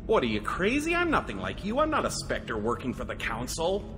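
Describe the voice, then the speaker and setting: An eager, self-important fanboy who fancies himself a space hero in a video game. His pitch leaps around animatedly as bluster turns to earnest pleading. Music plays clearly behind him. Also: wildly swooping pitch, brisk. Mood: defensive, earnest. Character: delusional, boastful wannabe male space hero, eager fanboy.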